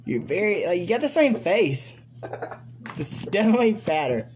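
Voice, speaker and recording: A man co-hosting a casual podcast, joking, laughing and swearing a lot while high. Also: big pitch swings, moderate pace, background noise. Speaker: podcast co-host. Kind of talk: casual crude chat.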